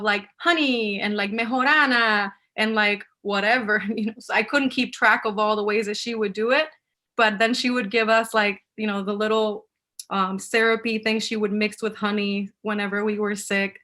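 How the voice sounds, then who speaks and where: A woman's voice: fairly high pitch, pushed and bright. A woman from Puerto Rico, an author chatting casually with her audience on a video call, laughing often and mixing in Spanish words. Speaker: author answering audience questions. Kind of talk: casual live Q&A chat.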